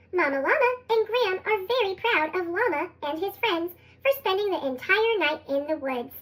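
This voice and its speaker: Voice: very high-pitched, low-quality recording. A narrator reading a children's storybook expressively through a sped-up chipmunk effect. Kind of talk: scripted storybook read-aloud for young children.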